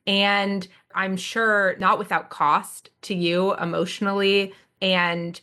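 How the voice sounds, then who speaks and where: A woman's voice: mid-range voice, steady tone, slow pace, pushed and bright, clean tone. A woman hosts a podcast interview in a mid-range voice. She frames her questions earnestly and reflectively, building up to them at length. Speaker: podcast host.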